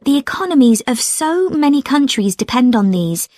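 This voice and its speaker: A woman's voice: high voice, big pitch swings, normal speed, darkish tone, no room sound, studio quality. An actress playing a thoughtful young female student in a scripted study discussion. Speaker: actor in a listening test dialogue.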